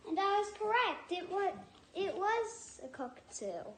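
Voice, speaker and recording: A woman reading a picture book aloud in a halting, deliberate way, stopping to add personal asides. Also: extremely high pitch, very animated. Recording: echoey room with faint noise.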